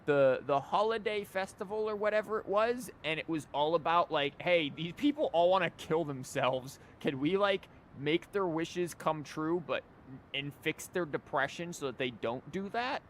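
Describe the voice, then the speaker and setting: Wry man streaming over rumbly noise. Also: normal speed, a bit bright. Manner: wry, rambling, mock-quoting. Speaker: game livestreamer. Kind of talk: casual live game commentary.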